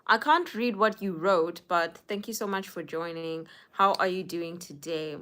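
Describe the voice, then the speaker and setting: A young woman from Zimbabwe chats slowly and warmly into her phone on a casual livestream, reading out viewers' names and answering their comments. Her voice sits a little low for a woman, and she laughs easily between thoughts. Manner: chatty, greets viewers by name, laughs often, some whispered asides. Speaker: livestream host. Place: indoors at home.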